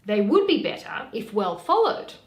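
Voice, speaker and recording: A woman playing a sensible, loyal waiting-maid who gently advises her mistress in a measured Shakespeare reading. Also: mid-range voice, very animated, voice pushed down, lightly acted. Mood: reasoning. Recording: echoey room.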